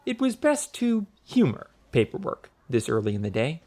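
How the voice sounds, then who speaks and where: A man narrating a scripted story audiobook in a wry, expressive storytelling manner. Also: a little high, moderate pace.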